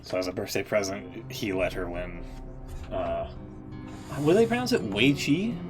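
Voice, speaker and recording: A man with a fairly low voice talks casually on a live stream. He thinks aloud in a rambling, hedging way and throws in short reactions, with music playing behind him. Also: very animated, moderate pace. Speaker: streamer reacting to game story readings.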